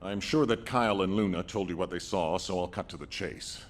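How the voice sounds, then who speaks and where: An actor playing a grave, pragmatic military commander in scripted game dialogue. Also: male voice, deep voice, a little fast, a little hiss or hum, natural voice. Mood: grave, resolute.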